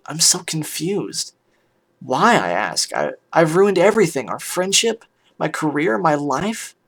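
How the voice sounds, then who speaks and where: A man plays a weary pony musician pouring out a bitter, tender story. The voice is mid-pitched with a gravelly fry, and the delivery is hushed, halting and full of feeling. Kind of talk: dramatic first-person fan fiction reading.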